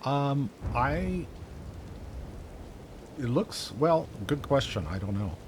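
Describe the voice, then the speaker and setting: A man chatting casually and a little haltingly as he co-hosts a gaming livestream. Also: medium pitch, big pitch swings. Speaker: game streamer, co-host. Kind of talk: casual chat while playing a game. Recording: livestream with clear background noise, game ambience.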